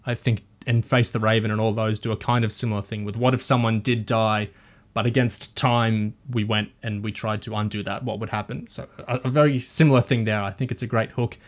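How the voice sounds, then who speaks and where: A man's voice: fairly low pitch, steady tone, a little fast. A man hosting a podcast, talking through a review discussion with enthusiasm.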